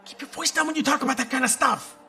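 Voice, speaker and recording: Drunken tavern rogue, high sharp voice, slurred drawl, boisterous yet cowardly, audio drama, music behind. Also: male voice, fast, gravelly, exaggerated voice. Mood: flustered.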